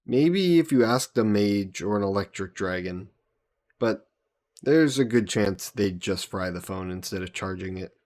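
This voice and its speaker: Man playing a slow-talking, friendly fantasy hero. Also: lowish voice, expressive, a little mellow. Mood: friendly, explaining. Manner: conversational, patiently explaining in simple terms. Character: friendly human hero from Earth, patient and eager. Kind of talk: roleplay audio drama, one-sided conversation with a listener.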